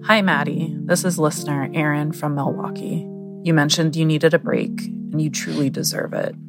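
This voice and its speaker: A woman's voice: low voice, fairly even intonation, normal speed. A woman podcast listener giving a prepared thank-you message. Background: guitar music.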